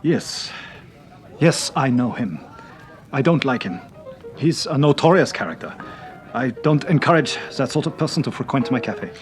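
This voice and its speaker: A man playing a deferential, nervous young cafe owner in a radio drama, halting and apologetic. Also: medium pitch, expressive, faint music behind, close to an everyday voice. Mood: guarded, uneasy. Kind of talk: British radio serial acting.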